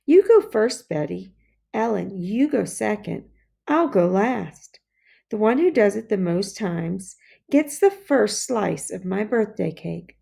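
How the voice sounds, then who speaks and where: A woman's voice: medium pitch, expressive, slow, vocal fry, dark tone, husky, full character voice. A woman voicing a bossy, scornful young schoolgirl in a children's storybook, dismissive and commanding.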